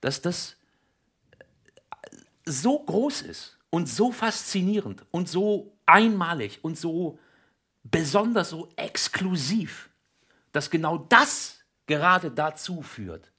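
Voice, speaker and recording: A man's voice: fairly high pitch, sing-song, slow pace, pushed and bright, breathy edge, full character voice. An actor playing a preachy, dismissive married man in an improvised radio comedy, arguing insistently and cutting in. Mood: insistent, earnest. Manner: argumentative, dismissive, insistent, interrupting. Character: combative, preachy husband defending infidelity, married man.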